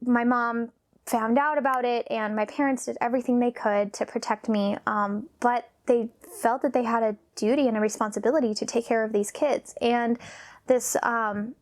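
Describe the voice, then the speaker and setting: A woman in her thirties talking about her own past in a vlog, nervous and halting, with sighs and pauses, sometimes dropping almost to a whisper. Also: a little high, fairly even intonation. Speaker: vlogger. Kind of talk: personal confessional talk.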